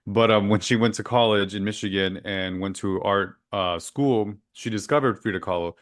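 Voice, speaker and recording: A man's voice: lowish voice, normal speed, no echo, studio quality. A man hosting a podcast interview, upbeat and chatty, asking questions and affirming his guest. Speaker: podcast host. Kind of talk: casual interview chat.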